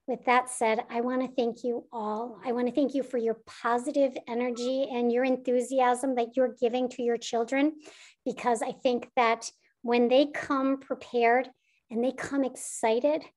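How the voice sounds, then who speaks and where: A woman's voice: on the high side, flat delivery, moderate pace, darkish tone. A woman school administrator answering parents' questions in an online information session, reassuring and with frequent ums.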